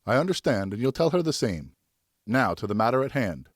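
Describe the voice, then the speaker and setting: A man playing a firm but loving father who speaks in a stern, measured way in an audiobook. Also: medium pitch, big pitch swings, no room sound, clean studio sound, voice pushed up. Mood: patient.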